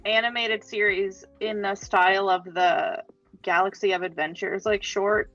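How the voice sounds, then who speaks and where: A woman's voice: mid-range voice, steady tone, unhurried, fairly bright tone, lo-fi sound, soft background music. A woman chatting casually as a panelist in a fan discussion. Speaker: podcast panelist.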